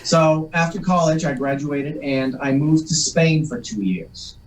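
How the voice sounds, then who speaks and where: A man's voice: fairly high pitch, unhurried. Man, echoey and distant. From Massachusetts, USA. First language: Polish. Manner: rambling, self-deprecating joker. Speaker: guest, actor. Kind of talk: casual interview storytelling. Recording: echoey room, distant mic.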